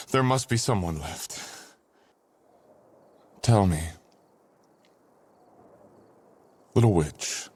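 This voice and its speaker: A man playing a dangerous, vengeful ancient sorcerer in an audio roleplay, speaking in a husky, breathy voice with dramatic, threatening pauses. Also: low-pitched, darkish tone, full character voice. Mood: scheming, vengeful. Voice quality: husky, breathy, often dropping to a whisper. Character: dangerous ancient male sorcerer, menacing, vengeful, freed from long imprisonment. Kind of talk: boyfriend ASMR audio roleplay.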